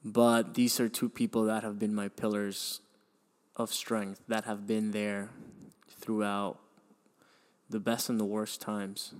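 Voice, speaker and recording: Low, flat-voiced man telling his story. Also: takes it slowly. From Venezuela. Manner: hesitant, many restarts and fillers, sighs. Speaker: podcast host. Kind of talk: reflective personal storytelling.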